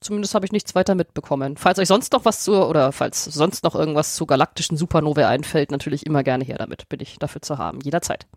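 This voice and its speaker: A woman co-hosting a podcast in casual chat, sounding a bit off from a cold but enthusiastic and quick to laugh. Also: sits low, a little mellow, no room sound, studio quality. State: has a cold. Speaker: podcast co-host, astrophysicist.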